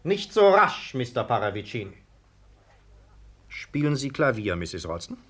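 Stern, insistent police sergeant. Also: male voice, medium pitch, very animated, a little slow, a bit bright. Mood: commanding. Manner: commanding, clipped, insistent. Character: stern, suspicious police sergeant interrogating suspects. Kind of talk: 1950s radio drama acting.

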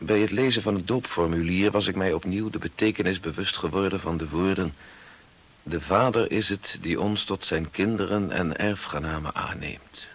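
A man's voice: sits low, normal speed. An older actor playing a devout, reflective grandfather who narrates his family's story earnestly and with measure in a 1960s radio drama. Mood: moved, devout.

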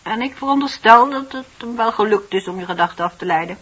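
An actress playing a sympathetic, knowing confidante in a radio play, soothing and gently prompting in a measured way. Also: female voice, on the high side, expressive, slightly rough. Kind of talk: Dutch radio play acting. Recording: muffled, dull radio play recording.

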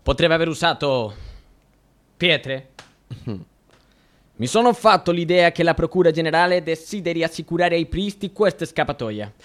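An actor playing a nervous, wavering judge in a radio play, hesitant and prone to tagging phrases with "eh?". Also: male voice, fairly high pitch, animated, moderate pace, pauses often, natural voice. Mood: wary. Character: nervous, wavering male judge in Mexico, anxiously pragmatic.

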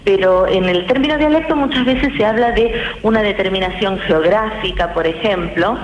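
A woman, a guest linguist on a radio interview, explaining in a measured, academic way with many hesitations. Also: mid-range voice. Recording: roomy, muffled radio with hiss.

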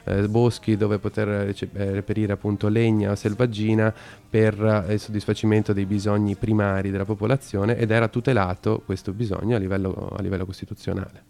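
A man hosting a radio talk, speaking hesitantly with many pauses and filler sounds. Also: on the low side, little pitch movement, gravelly, dark tone. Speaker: radio host.

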